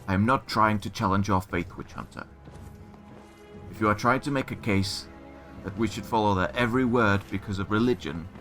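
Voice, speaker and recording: A man's voice: lowish voice, moderate pace, a bit bright, soft background music, lightly acted. A man playing a stern, weary guard captain in a fantasy audio drama, speaking formally and guardedly. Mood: guarded, firm.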